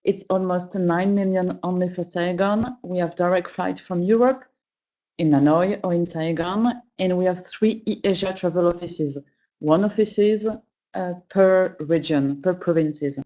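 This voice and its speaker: Woman, travel trainer, webinar presentation, halting non-native English, mellow tone, slightly dull audio. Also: lowish voice, steady tone.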